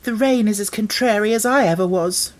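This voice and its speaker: Man voicing a high-pitched, stubborn little girl. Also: brisk, natural voice. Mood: cross, sulky. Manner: blunt, defiant, insistent. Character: contrary, stubborn ten-year-old girl, angry and determined.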